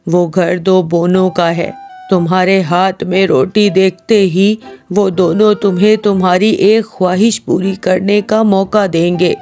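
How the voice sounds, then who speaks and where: Woman as a kind old forest man, slow and gentle, cartoon story with music. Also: on the low side, steady tone, voice pushed down. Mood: kindly.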